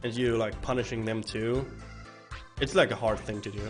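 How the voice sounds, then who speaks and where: A man with a hoarse, rough voice from bronchitis, chatting casually and rambling to stream viewers while playing a game. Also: fairly low pitch, steady tone, moderate pace. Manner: rambling, chatty, swearing, with frequent restarts. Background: faint game music.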